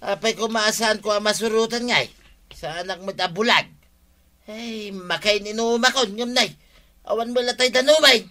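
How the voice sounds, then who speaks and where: A whiny, lazy husband in an Ilocano radio comedy. His voice is high and very sharp and forceful, always grumbling and demanding. He speaks with an Ilocano accent. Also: normal speed. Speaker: actor in radio comedy drama.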